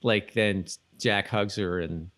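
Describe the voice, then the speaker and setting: A man's voice: fairly low pitch, slow, fairly bright tone. A man co-hosting a podcast, chatting casually about a TV episode in a rambling, repetitive way. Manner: rambling, repeats himself. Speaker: podcast co-host.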